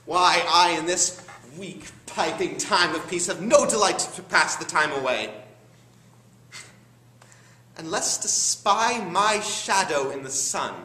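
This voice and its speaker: A teenage male student performing a bitter, deformed, scheming duke in a theatrical, deliberate Shakespearean stage monologue. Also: a little high, pushed and bright, heavily acted. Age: teens. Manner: theatrical, deliberate, dramatic pauses. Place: high school classroom. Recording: roomy classroom recording, faint background noise.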